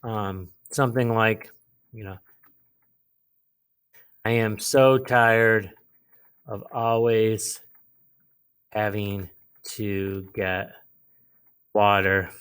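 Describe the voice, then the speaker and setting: A man teaching a lesson, explaining a writing task step by step, with pauses and repeated phrases. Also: fairly low pitch, fairly even intonation, a bit bright, clear, resonant tone. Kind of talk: instructional lesson, modelling a writing task aloud.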